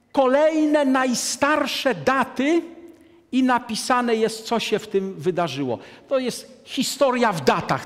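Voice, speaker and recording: A man in his sixties giving a deliberate, emphatic lecture to an audience from a lectern in a large hall. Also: high voice. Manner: deliberate, emphatic, gestures at a map. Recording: long hall reverb.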